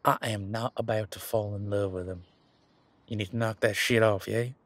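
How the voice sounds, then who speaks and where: A man's voice: lowish voice, fairly even intonation, moderate pace, a bit bright, no room sound. A man playing an easygoing, protective werewolf in an audio roleplay, talking in an informal, frank and chatty way. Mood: mock annoyed. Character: easygoing, protective male werewolf, imposing but responsible. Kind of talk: casual conversational audio roleplay monologue.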